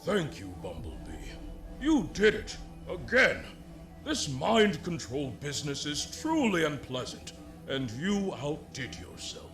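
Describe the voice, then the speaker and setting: A man playing a weary, gruff robot warrior in a video game, speaking in a halting, earnest way. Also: fairly high pitch, huge pitch swings, over music, exaggerated voice. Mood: weary gratitude. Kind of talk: video game cutscene acting.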